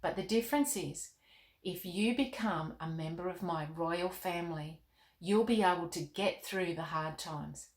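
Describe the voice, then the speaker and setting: A woman reading the part of a gentle, wise, fatherly storybook king in a calm, measured, reassuring way. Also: on the low side. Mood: calm, kindly. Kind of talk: storybook read-aloud. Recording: roomy, echoing indoor sound.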